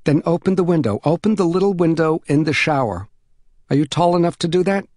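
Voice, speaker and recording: A man voicing a calm, motherly housewife who patiently and gently reassures a child in an audiobook story. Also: on the high side, a little fast, no echo, clean studio sound, pitched above the performer's own voice, close to an everyday voice. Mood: reassuring.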